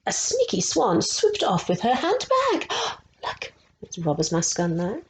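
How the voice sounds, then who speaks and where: Slow-paced woman reading a children's storybook. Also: mid-range voice, huge pitch swings, a little hiss or hum. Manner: explanatory, prompting young listeners with questions. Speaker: resident story teller. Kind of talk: reading a children's picture book aloud with explanatory asides.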